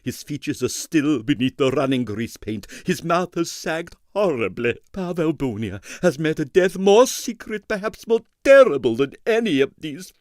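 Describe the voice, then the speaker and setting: A man's voice: a little high, expressive, moderate pace, hardly any pauses, no echo, clean studio sound, natural voice. A man reading as a melodramatic woman newspaper columnist, florid and theatrical, in a dramatic audiobook style. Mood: tragic, overwrought. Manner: florid, theatrical, breathless with doom.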